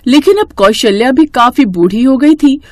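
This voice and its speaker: A woman's voice: high-pitched, lively intonation, normal speed, dry recording, studio quality. A woman narrating a scripted story in storybook style.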